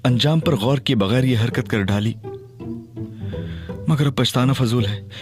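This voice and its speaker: A man's voice: mid-range voice, a little slow, over music, close to an everyday voice. An actor playing a cocky young male detective in an audio drama, teasing and theatrical, also narrating in first person. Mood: pleading. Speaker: Ali Imran.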